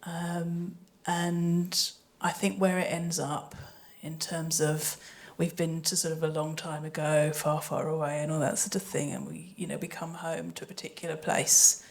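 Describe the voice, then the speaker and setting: A woman's voice: sits low, little pitch movement, measured pace, gravelly, few pauses. A woman answering in an unscripted panel discussion, tentative and thoughtful, feeling her way through her points. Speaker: audio drama maker on a panel.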